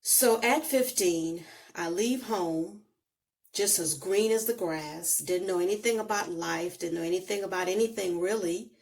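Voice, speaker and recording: A middle-aged Bahamian woman sits talking to her phone, speaking in a fairly low voice. She takes her time, leaving long deliberate pauses as she looks back on her own life story. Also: gravelly, a little mellow. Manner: slow, deliberate, reflective delivery with emphatic pauses. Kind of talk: personal storytelling to camera. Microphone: phone on a holder.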